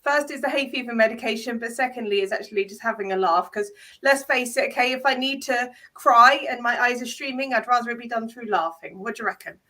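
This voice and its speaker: A woman's voice: on the high side, fast, hardly any pauses, sharp tone. A British woman hosting a casual live video chat, sounding congested with hay fever and chatting with easy laughs. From Britain. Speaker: host.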